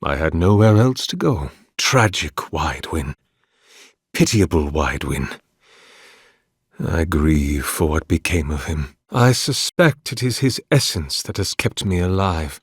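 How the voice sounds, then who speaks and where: An actor playing an ancient, weary, devout priest in a video game, speaking formally and eloquently with solemn dignity. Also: male voice, low voice, expressive, slow, husky, no room sound, studio quality, heavily acted. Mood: reflective, measured, then sorrowful, resigned. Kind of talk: dramatic video game dialogue.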